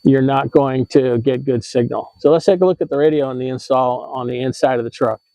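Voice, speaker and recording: A man hosting a how-to vlog, explaining things in a casual, conversational and slightly halting way. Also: medium pitch, steady tone, mellow, no echo, rough recording. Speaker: vlog host giving RV tips.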